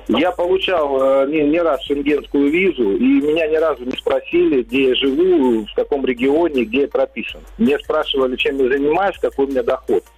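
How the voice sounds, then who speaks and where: Male radio caller with a southern Russian accent, a little husky, answering off the cuff. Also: medium pitch, brisk, hardly any pauses, a little mellow, faint music behind, low-quality recording. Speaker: radio call-in listener. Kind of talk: spontaneous interview answers.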